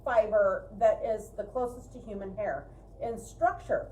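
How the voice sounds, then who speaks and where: A woman's voice: mid-range voice, a little slow, dark tone. A woman chatting casually and informally as co-host of a livestream, often interjecting. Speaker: co-host of a fiber arts livestream. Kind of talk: casual chat. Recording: distant mic, faint background noise.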